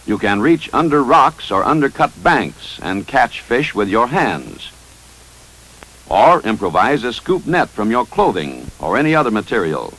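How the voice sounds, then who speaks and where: Male narrator, American, 1950s military training film style: instructive, authoritative, measured, with animated intonation. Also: mid-range voice, poor audio. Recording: 1955 training film soundtrack.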